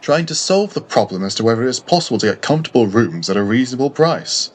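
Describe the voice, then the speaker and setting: A man's voice: mid-range voice, expressive, quick pace, natural voice. A man voicing a lonely former army doctor in a storybook reading, formal and earnest. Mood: frank, inquiring. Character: lonely, weary ex-army doctor, eager for company.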